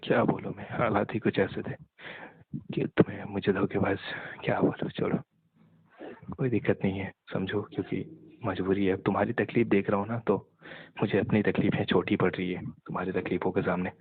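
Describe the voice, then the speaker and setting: A young man speaks in a low, husky voice with very little melody. He sounds halting and resigned, as in a private conversation over a narrow phone line. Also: flat delivery. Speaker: caller talking with an ex-partner. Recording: muffled narrow-band phone call, echoey.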